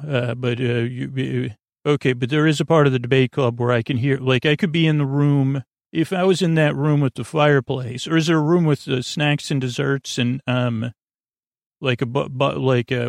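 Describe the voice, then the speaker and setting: A man hosting a sleep podcast in a goofy, meandering monologue, halting and trailing off mid-thought. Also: mid-range voice, creaky, no echo, clean studio sound. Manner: goofy, whimsical. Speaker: podcast host.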